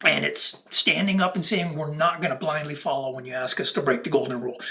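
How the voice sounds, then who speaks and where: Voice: medium pitch, steady tone, fairly quick, vocal fry, sharp tone. A speaker delivering an unscripted, preachy monologue to viewers in emphatic, broken-up phrases. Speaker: content creator.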